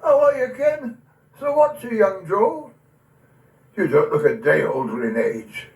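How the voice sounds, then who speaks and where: A man's voice: high-pitched, big pitch swings, a little slow, darkish tone, exaggerated voice. A man playing a tender, wistful old grandfather and war veteran in a recital of dramatic verse, with an emotional quiver in his voice. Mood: hearty greeting. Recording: echoey room, distant from the mic.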